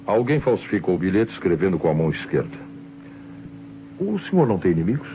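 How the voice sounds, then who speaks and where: A shrewd police inspector in a vintage radio mystery, with a very deep, deliberate voice. He sounds composed and authoritative through a muffled recording with light noise. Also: very animated, dark tone. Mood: probing. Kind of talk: old radio crime drama acting.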